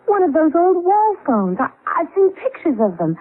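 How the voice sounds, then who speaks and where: An actress playing a playful, excitable wife in a 1970s radio drama, with a theatrical, expressive delivery. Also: female voice, very high-pitched, normal speed. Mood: enchanted. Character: playful, excitable wife enchanted by her old house. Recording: tinny band-limited vintage radio recording.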